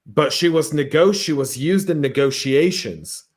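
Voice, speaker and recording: A man hosting a podcast panel, telling lively stories and reading out viewer comments in a casual, chatty way. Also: a little high, unhurried. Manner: animated storyteller, acts out exchanges. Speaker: podcast host.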